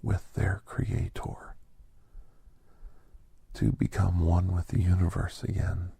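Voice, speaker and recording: A man voicing a gentle, reflective doctor who tells an ASMR holiday story in a breathy, hushed whisper with deliberate pauses. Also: deep voice, monotone, dry recording, exaggerated voice. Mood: hushed, reverent. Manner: hushed, deliberate, with long pauses between short phrases. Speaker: narrator of an ASMR story podcast.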